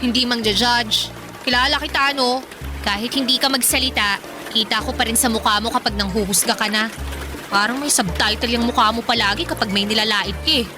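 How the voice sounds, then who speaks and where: A woman's voice: fairly high pitch, brisk, music in the background, close to an everyday voice. A woman playing a quirky, stubborn, easily annoyed teenage Filipina girl in a radio drama, with a whiny, exasperated manner. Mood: annoyed, teasing.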